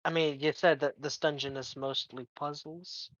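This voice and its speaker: A man's voice: a little high, flat delivery, no room sound, lo-fi sound. A young man playing in a tabletop game over voice chat, bantering and arguing with the game master. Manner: bantering, pushy, quick to argue. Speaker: player. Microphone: online voice chat mic.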